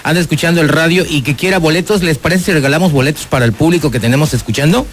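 A man with a Mexican accent hosting a lively radio interview, chatty and enthusiastic, speaking straight to listeners. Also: fairly high pitch, very fast, slight background noise. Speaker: radio show host. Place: radio studio booth. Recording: music playing behind the voice. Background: music.

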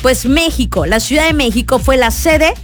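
A bubbly woman DJ with a Mexican accent talks in a high, bright register, her voice a little rough and hoarse. She chats warmly with listeners and laughs easily from a radio booth. Soft music plays faintly beneath her. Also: expressive, normal speed. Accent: Mexican Spanish. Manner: chatty, laughing, greeting listeners. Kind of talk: lively radio show chat.

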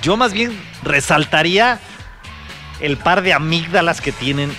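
Animated, bright pundit. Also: male voice, fairly high pitch, unhurried, faint music behind. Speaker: sports commentator. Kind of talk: panel discussion.

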